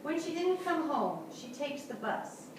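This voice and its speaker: A woman's voice: sits high, big pitch swings, measured pace, far from the mic. A woman in a staged reading playing an anxious divorced mother, emphatic and theatrical. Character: Cynthia, anxious divorced mother of missing daughter. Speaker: actor in reader's theatre. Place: small theatre stage before an audience. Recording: echoey theatre from afar, noisy.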